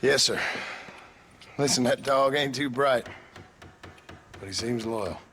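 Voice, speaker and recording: An actor playing a dry, courteous, weary American gunslinger in a western game, polite but sardonic. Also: male voice, medium pitch, slow, a bit bright, slight background noise. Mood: curt, courteous. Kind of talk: western video game acting.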